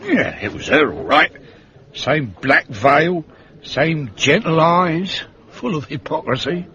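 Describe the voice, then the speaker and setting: An actor playing a blunt, sardonic Cockney manservant in a British radio drama, talking in a colloquial, gossipy, grumbling way. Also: male voice, on the high side, expressive, takes it slowly, sharp tone, heavily acted. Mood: suspicious, gossipy. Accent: Cockney London. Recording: muffled, dull radio drama recording.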